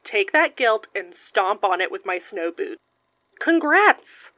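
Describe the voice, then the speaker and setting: Casting: playful young female best friend, podcast drama; expressive, slangy, very animated voice, dry close sound. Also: mid-range voice, slow, no room sound, dull audio. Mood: delighted, supportive. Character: playful, nosy, loyal young woman, the teasing best friend. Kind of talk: lively fiction podcast acting.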